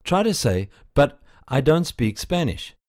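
A man guides learners through a language course in scripted, patient prompts. He takes his time and lets his pitch move freely from line to line. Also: sing-song, takes it slowly, darkish tone. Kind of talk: scripted instruction.

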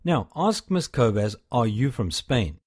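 A man guides a listener through a language lesson in scripted narration, his pitch rising and falling freely. He speaks slowly, with a slightly husky edge to his voice. His prompts come calm and measured.

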